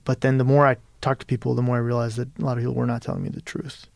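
Gravelly-voiced man, flat and thoughtful. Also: mid-range voice, racing, no echo. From Peru. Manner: thoughtful, searching, many restarts and "you know". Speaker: guest writer. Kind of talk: reflective interview conversation.

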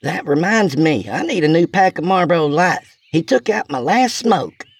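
Brash, scrappy storybook country woman, acted by a man, high-pitched with creaky edges, bossy and sarcastic. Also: lively intonation, moderate pace, dry recording, heavily acted. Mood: insistent. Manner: folksy, bossy, insulting.

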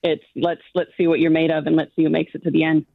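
A woman's voice: low-pitched, quick pace, creaky, no echo. A woman guest, not from New Zealand, speaking confidently in a remote interview and laughing often. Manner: animated, laughs often, self-assured. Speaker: guest, show judge. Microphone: phone or video call line. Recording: thin phone-like line.

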